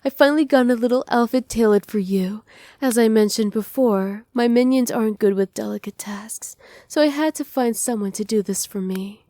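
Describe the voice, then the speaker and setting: A woman playing a doting, possessive witch in an ASMR roleplay, speaking softly with teasing, condescending affection. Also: fairly high pitch, normal speed, no echo, studio quality, close to an everyday voice. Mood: doting, proud. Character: doting, possessive witch mistress pampering her captive pet. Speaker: voice actor.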